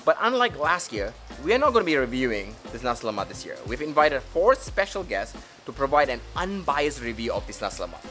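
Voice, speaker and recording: A woman's voice: a bass voice, big pitch swings, fairly quick, hardly any pauses, a bit bright, soft background music. A woman hosting a food video, introducing it in a presenter's style. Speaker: host.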